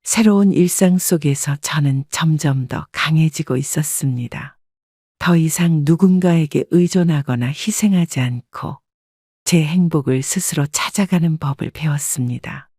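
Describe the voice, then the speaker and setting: A woman in her sixties narrating her own story in the first person, calm and reflective. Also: deep voice, no echo, studio quality.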